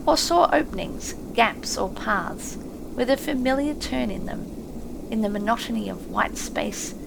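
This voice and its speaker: A woman's voice: fairly high pitch, lively intonation, measured pace. A woman narrating an audiobook story expressively from a script. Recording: clearly noisy, ambient effects behind. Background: ambient sound effects.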